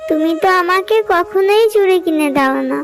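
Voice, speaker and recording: A woman's voice: very high-pitched, moderate pace. A woman voicing an eager, pleading little fox girl in a children's cartoon. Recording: music behind the voice.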